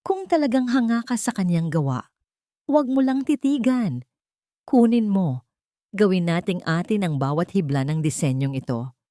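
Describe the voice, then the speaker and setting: A woman with a Filipino accent voicing a scheming, imperious rich elderly matriarch with a sharp, authoritative manner in an audio drama. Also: mid-range voice, expressive, normal speed, no room sound, studio quality, lightly acted. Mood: commanding, scornful.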